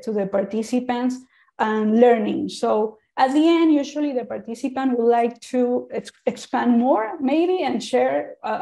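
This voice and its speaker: A woman's voice: on the high side, gravelly, dark tone. A woman from Venezuela with a Venezuelan Spanish accent, giving an informal presentation in an earnest way and often restarting and repeating words. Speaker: speaker on usability testing.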